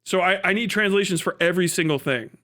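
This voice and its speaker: High-voiced American man ranting casually. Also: quick pace, sharp tone. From United States. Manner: crude, mocking, rambling. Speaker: livestream host reacting to a video. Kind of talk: casual profane commentary.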